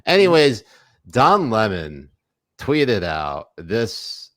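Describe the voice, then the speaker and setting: A deep-voiced man co-hosts a livestreamed podcast, teasing and joking crudely with his co-host. His sound is close and dry, with no room around it. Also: huge pitch swings, drawn-out words, a bit bright, no room sound. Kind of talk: crude comedic banter.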